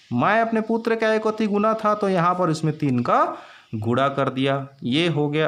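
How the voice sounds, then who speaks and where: A man's voice: on the high side, fairly bright tone. A man teaching school maths step by step, repeating phrases and asking questions he then answers himself. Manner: repeats phrases for emphasis, instructional, asks and answers own questions. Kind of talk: step-by-step exam lesson for school students.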